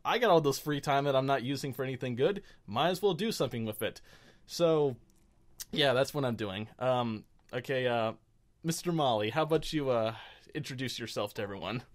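A man hosts a casual gaming show with no script. His voice sits at an average pitch. He rambles and stammers along, poking fun at himself. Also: brisk. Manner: rambling, self-deprecating, stammering. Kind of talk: unscripted casual chat.

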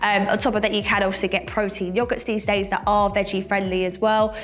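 Young female vlogger, nasal from a cold, relaxed chatter full of fillers, mid-range voice, seated indoors. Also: medium pitch, fairly quick. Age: young adult. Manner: chatty, many fillers, lively gestures. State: sick with a cold, slightly nasal. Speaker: fitness coach and vlogger. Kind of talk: casual sit-down chat to camera. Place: bright room indoors, seated.

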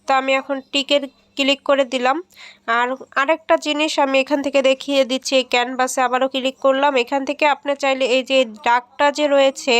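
A woman's voice: sits high, fairly bright tone, no echo. A woman hosting a casual step-by-step app tutorial. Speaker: tech tutorial host.

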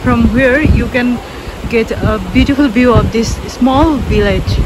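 Young woman, slow pace, casual tour narration, outdoor street noise. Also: on the high side. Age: young adult. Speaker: travel vlogger. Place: outdoors in village streets and balconies. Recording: clear outdoor ambient noise, passing traffic.

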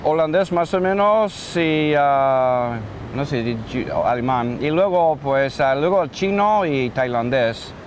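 A middle-aged man whose first language is English, answering interview questions in a measured way, listing things one by one, recorded outdoors on a seafront with a lapel mic. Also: a little high, animated, a little hiss or hum. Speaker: interviewee, polyglot.

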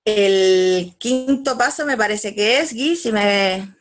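A woman's voice: mid-range voice, takes it slowly. A woman, presenting a business webinar over a video call. She reads slides and often hesitates, filling gaps with "pues" and restarting phrases.

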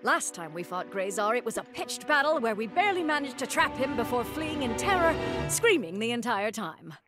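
A woman voicing a flustered cartoon creature in authority, theatrical and exclamatory, in an animated game cutscene. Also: fairly high pitch, normal speed. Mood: grave, resolute. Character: flustered, dramatic female long-snouted creature, official in charge. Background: music.

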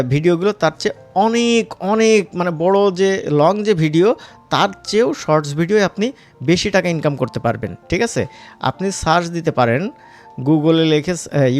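A man with a Bangladeshi accent giving a casual, informal software tutorial as an instructor, mixing in English words. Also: a little high.

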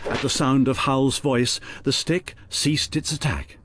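A man's voice: mid-range voice, fairly even intonation, unhurried, background noise, close to an everyday voice. A man acting as the storyteller, giving scripted narration in an audio drama. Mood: storytelling. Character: storyteller narrating a fantasy tale.

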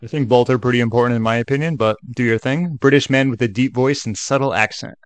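A man's voice: medium pitch, steady tone, quick pace, dry recording. A man hosting a casual screen-share tutorial in a chatty way, with joking asides. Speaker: tutorial video host.

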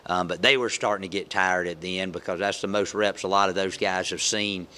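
A middle-aged man takes questions as a head football coach after a game, seated at a press table with a microphone in front of him. His voice sits fairly low but carries a bright, forward edge. He talks in a halting, matter-of-fact way, full of ums and restarts. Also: steady tone. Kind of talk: post-game press conference. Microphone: table mic.

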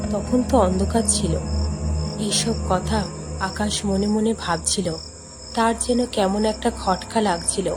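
A woman narrating a scripted horror story as a storyteller. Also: medium pitch.

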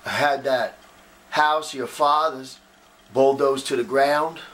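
A man playing a simple, tender, grieving Southern husband speaking haltingly at his wife's grave in a dramatic monologue. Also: mid-range voice, fairly even intonation, fairly bright tone.

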